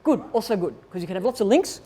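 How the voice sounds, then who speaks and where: Woman, workshop presenter, medium pitch, informal instructional talk, conversational, explanatory, thinking aloud. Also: expressive, fast, dark tone.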